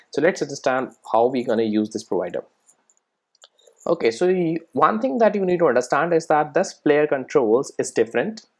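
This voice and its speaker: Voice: mid-range voice, a little mellow. Informal coding tutorial instructor, explanatory. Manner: explanatory, frequently checking understanding with tag questions.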